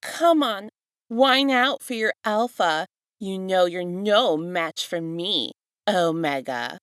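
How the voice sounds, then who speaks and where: A woman's voice: medium pitch, expressive, slow, fairly bright tone, clean tone, no room sound. A menacing male villain from a fan story, acted out by a woman narrator. The taunts are mocking and stretched out. The recording is dry and free of room sound. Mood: mocking menace.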